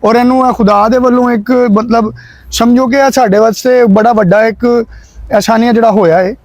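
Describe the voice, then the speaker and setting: High-pitched Pakistani man in casual conversation. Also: fairly even intonation, normal speed, darkish tone, no room sound. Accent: Pakistani Punjabi. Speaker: vlog guest.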